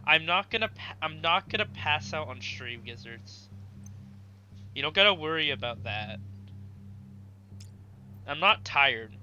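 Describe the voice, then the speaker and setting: A man streaming a game, chatting casually with his chat while his voice is going hoarse from long streams. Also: a little high, expressive, unhurried, pauses often, bright, forceful tone, lo-fi sound, a little hiss or hum. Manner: rambling, chatty, talks back to chat. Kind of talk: casual gaming stream commentary.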